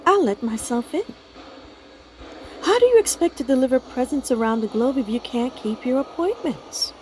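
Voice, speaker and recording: A woman plays a brisk older doctor in a radio play. She is dry and businesslike, with a slightly high voice over a somewhat noisy recording. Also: lively intonation. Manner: curt, businesslike.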